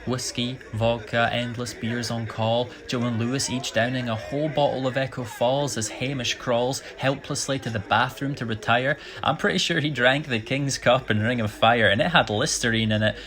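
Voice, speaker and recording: A man's voice: mid-range voice, steady tone, moderate pace, few pauses, fairly bright tone. Young British male slam poet; rhymed, rhythmic, theatrical storytelling. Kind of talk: rhymed slam poetry performance.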